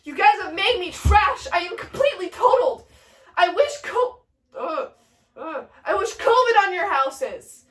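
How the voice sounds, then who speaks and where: A woman voicing a cocky, melodramatic young brawler in an amateur play retelling, declaiming loudly in modern slang. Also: sits high, unhurried. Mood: bitter, melodramatic. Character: Mercutio, cocky taunting young male brawler, melodramatic when wounded. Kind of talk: amateur retelling of a stage tragedy. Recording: echoey room, distant microphone.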